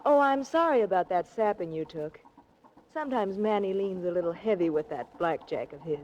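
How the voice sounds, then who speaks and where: A woman's voice: mid-range voice, lively intonation, fairly quick, a little mellow, natural voice. An actress playing a cool, scheming mystery woman, poised, formal and coy, in 1940s radio drama style. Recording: muffled, noisy 1940s radio recording.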